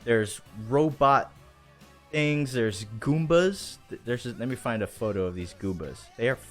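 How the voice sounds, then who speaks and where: A man's voice: mid-range voice, expressive, measured pace. A man hosting a casual livestream chat in an enthusiastic, rambling, slangy way. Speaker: show host. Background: faint music.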